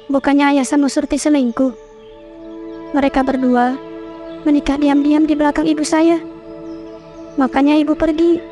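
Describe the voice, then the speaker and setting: A woman's voice: high-pitched, little pitch movement, moderate pace, pauses often, mellow, soft background music, lightly acted. A woman voicing an anxious young village wife in a horror cartoon, calling out and pleading. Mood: questioning. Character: young village woman searching for her husband.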